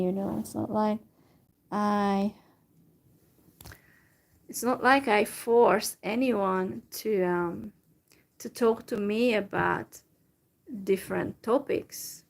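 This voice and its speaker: A young woman from Central Europe chatting casually to her viewers in a halting way, with many fillers and restarts. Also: on the high side, vocal fry, darkish tone. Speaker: vlogger. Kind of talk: casual solo chat to viewers.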